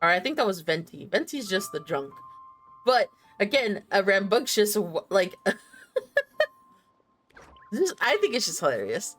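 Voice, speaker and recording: A woman's voice: medium pitch, big pitch swings, a little slow, pauses often. A woman chats casually as she streams a game. She is playful, jokey and sweary, with a mid-range voice and light game music behind. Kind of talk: casual live game commentary.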